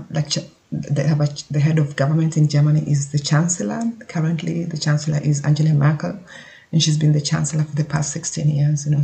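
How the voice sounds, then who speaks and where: A man with a Kenyan accent explaining things informally as a solo podcast host, haltingly, as if thinking aloud. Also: fairly high pitch, creaky, dark tone.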